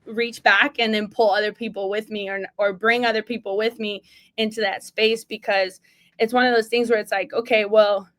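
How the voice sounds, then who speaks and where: A woman's voice: medium pitch, a bit bright. A woman from Mexico, an associate athletic director, talking as a podcast guest in an earnest, emphatic way, often checking in with "right?". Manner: single mom. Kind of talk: casual interview talk.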